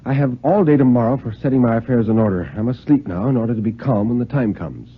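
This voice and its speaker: A man's voice: mid-range voice, fast, slight background noise, lightly acted. A man voicing a proud, agitated nobleman who is tense and questions himself, in a radio story reading. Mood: forced resolve. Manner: tense, self-questioning, forcing himself to be firm. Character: agitated, proud French nobleman dreading a duel. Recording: muffled, band-limited 1950s radio recording.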